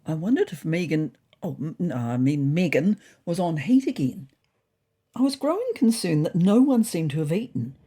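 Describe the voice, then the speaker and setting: A woman narrating a storybook diary as a fussy, indignant male house cat, in a wry, prim, deadpan manner. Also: low-pitched, normal speed, breathy edge, heavily acted. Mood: wry, worried. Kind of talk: first-person storybook narration.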